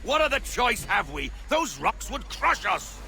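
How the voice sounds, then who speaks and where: Blunt, high-pitched seasoned sailor. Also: male voice, very animated, measured pace, vocal fry, bright, forceful tone, full character voice. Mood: worried, blunt. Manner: blunt, urgent seafaring talk. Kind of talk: dramatic video game acting. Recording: music over the voice.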